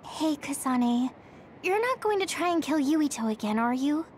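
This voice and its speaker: A woman's voice: sits high, sing-song, moderate pace, a little mellow, slight background noise. A woman voicing a girlish, protective young female soldier in an anime-style game. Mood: suspicious.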